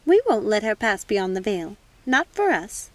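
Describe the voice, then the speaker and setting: A woman voicing a gentle, soothing witch mother in a storybook reading. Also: medium pitch, big pitch swings, normal speed, no echo, lightly acted. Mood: tender, protective.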